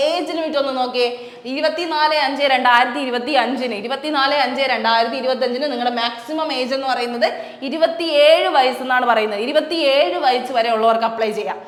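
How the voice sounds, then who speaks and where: A high-pitched woman with a Malayali accent, talking quickly as an exam coaching tutor. She goes over the details in a chatty way and repeats the key points. Her voice rings in an echoey room with a long reverb tail. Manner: chatty, repeats key facts, asks rhetorical questions. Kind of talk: explaining a job notification.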